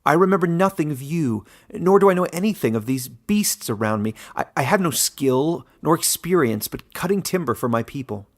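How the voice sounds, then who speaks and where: A man's voice: on the high side, animated, moderate pace, few pauses, pitched above the performer's own voice. A man voicing an exiled village boy in a fantasy story, earnest and wounded, with a strained, edgy delivery. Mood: nervous, insistent.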